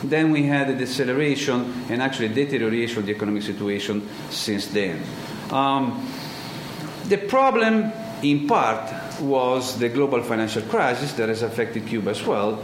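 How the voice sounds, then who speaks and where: A man from Italy with an Italian accent, giving an economics conference talk and often pausing on ums and uhs. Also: mid-range voice, animated. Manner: halting, many ums and uhs, searching for words. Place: large lecture hall. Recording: echoey hall with background noise.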